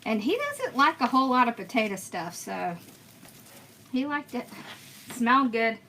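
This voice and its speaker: A chatty woman with a bright, somewhat high voice hosts a craft livestream from a room at home. She talks casually, answers viewer comments and laughs often as she works. A faint hiss of noise sits under her voice. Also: sing-song, moderate pace, poor audio. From born in Pennsylvania, raised in Georgia. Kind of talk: casual live-stream chat while crafting.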